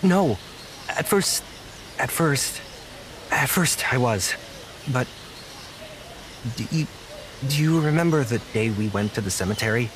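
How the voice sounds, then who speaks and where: An actor playing an earnest, insecure young man in an audio drama, speaking softly and haltingly with an apologetic manner. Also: mid-range voice, expressive, noisy background, lightly acted. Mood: regretful, earnest. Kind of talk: naturalistic audio drama dialogue.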